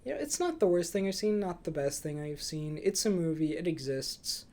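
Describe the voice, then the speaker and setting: A man's voice: on the high side, animated, normal speed, dark tone. A young man hosting a casual movie review podcast, chatting with dry, flippant humour. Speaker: podcast host.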